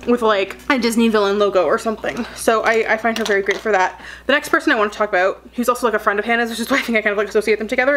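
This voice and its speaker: A young beauty vlogger in her twenties talks at a rapid clip as she does her makeup. Her voice sits a little high, and she rambles casually, packing every thought with filler words. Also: a bit bright. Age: 20s. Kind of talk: chatty get-ready-with-me talk while doing makeup.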